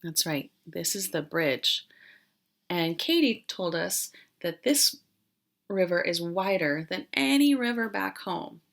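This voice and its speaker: A woman teacher doing an interactive read-aloud for young children, explaining as she goes and pausing after questions. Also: fairly low pitch, animated, gravelly, fairly bright tone. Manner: questioning, explanatory, with pauses for answers.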